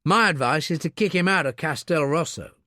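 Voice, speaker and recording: A man's voice: a little high, expressive, a little fast, bright, forceful tone, no room sound, pitched above the performer's own voice. A man voicing a weary, steely army captain in an audiobook, with a curt, dry, firm delivery.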